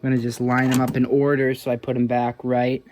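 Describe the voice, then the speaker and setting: A man's voice: medium pitch, monotone, fairly quick, background noise. A man giving casual, hands-on repair commentary as a hobbyist, thinking aloud in an informal way. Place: indoors.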